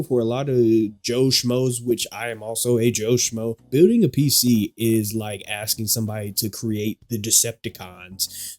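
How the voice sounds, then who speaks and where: A man's voice: medium pitch, warm tone, no echo. A young man with a stuffy nose, drowsy from allergy medicine, ranting to camera in a casual, profane, slangy way. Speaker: gaming vlogger.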